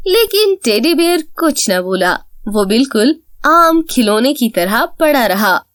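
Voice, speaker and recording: A woman storyteller narrating for children in a very high, lively voice. Her delivery is slow and the sound is close and dry. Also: dry recording.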